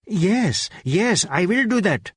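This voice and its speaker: An anxious, sad-voiced rich businessman, as a male narrator plays him, pleading and eager to please. The voice is raised in pitch and recorded dry, in audiobook style. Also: very animated, slow, breathy edge, no echo, exaggerated voice.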